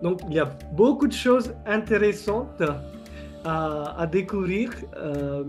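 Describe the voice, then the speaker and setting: A man hosting an interview, speaking slowly and searching for his words. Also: sits high, a little mellow, faint music behind. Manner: slow, halting, searching for words. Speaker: host, interviewer. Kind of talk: interview host.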